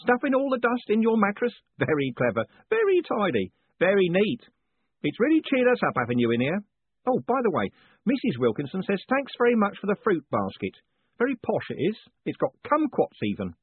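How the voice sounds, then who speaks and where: A man's voice: high-pitched, wildly swooping pitch, brisk, husky, no echo, pitched above the performer's own voice, exaggerated voice. A man voicing a cheerful, chatty male prison warden who talks in a chummy, rambling, deferential way. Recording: tinny, phone-like dry sound.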